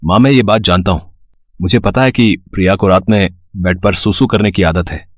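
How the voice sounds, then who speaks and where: A man plays a principled young husband in a cartoon family tale. His voice sits a little low and is recorded dry. He speaks gently, with earnest persuasion. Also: fairly even intonation, moderate pace, no room sound, natural voice. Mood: earnest, pleading. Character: caring young husband and son, calm, principled. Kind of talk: animated moral story voice acting.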